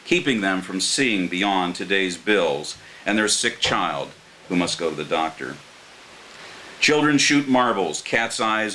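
An older American man reading his poetry live at a microphone, in a measured, earnest way. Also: on the low side, pushed and bright, low-quality recording. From Martins Ferry, Ohio, United States. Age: older, around 60. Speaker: poet reading his own work. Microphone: microphone on a stand. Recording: clear background hiss.

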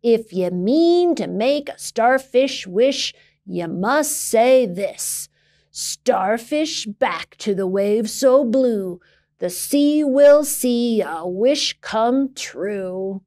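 A woman's voice: fairly high pitch, expressive, very slow, warm tone, exaggerated voice. A woman voicing a grumpy, reclusive old seafaring man for a picture book, scolding in old-sailor phrasing. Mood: stern, insistent.

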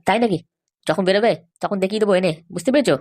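A woman's voice: mid-range voice, racing, darkish tone, natural voice. A woman voicing a defiant, hot-headed young married man in a comic cartoon, blustering and argumentative.

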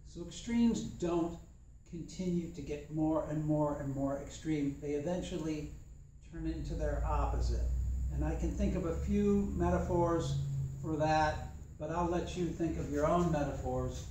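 A young man teaching a tai chi class in a dojo while he demonstrates, talking in a relaxed, thoughtful way. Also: on the high side, unhurried, slight background noise. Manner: relaxed, thoughtful, chatty with fillers. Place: large empty dojo with mat floor. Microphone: far camera. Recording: echoey room from a distance.

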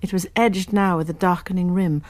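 An actress playing a composed college nurse and amateur sleuth who narrates a British radio drama. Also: female voice, fairly low pitch, fairly even intonation, a little fast, a little mellow, close to an everyday voice. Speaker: narrator and lead character.

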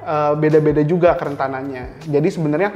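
Young adult man, Indonesian accent, fairly high pitch, casual interview answers, English tech terms, long reverb. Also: steady tone, fast talker, a little mellow, dull audio. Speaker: interview guest, cyber security engineer. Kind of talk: casual explanatory interview talk. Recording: reverberant hall sound, faint music.

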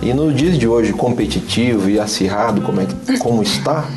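A man's voice: mid-range voice, lively intonation, a little fast, over music. A businessman guest in a casual TV interview, talkative and joking, restarting his sentences. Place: small TV studio set with a tea table.

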